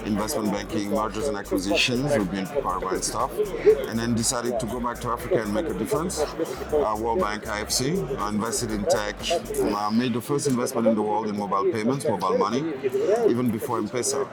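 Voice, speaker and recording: Talkative man over loud music. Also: on the low side, fairly quick. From Cameroon. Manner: talkative, fluent, leans on "right?" and "you know". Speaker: company founder, guest. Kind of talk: casual interview.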